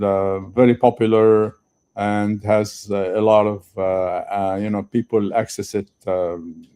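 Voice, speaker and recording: Older male guest speaker, low mellow voice, hesitant and full of fillers. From Lebanon. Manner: halting, many fillers. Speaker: guest speaker, retired professor. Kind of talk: conference interview.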